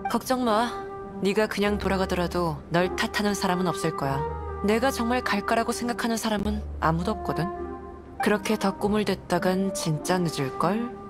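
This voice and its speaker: A woman's voice: medium pitch, brisk, music in the background, natural voice. A woman voicing an aloof, cynical nun in a game, speaking in a dry, blunt manner. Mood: cold, dismissive. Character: aloof, cynical adult nun, coolly suspicious. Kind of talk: game voice acting.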